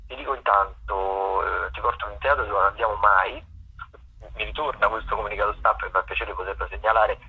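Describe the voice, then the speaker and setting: A man runs through the week's theatre listings in a rambling, hesitant way. His low voice is gravelly and husky. The whole thing comes through a thin, tinny phone line. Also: brisk. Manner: relaxed, rambling, with many hesitations. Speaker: theatre critic and regular contributor. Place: at a festival, away from the studio.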